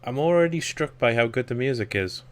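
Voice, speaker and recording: A man with a croaky voice casually commentating gameplay, rambling and thinking aloud. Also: medium pitch, lively intonation, fairly quick. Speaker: let's play commentator.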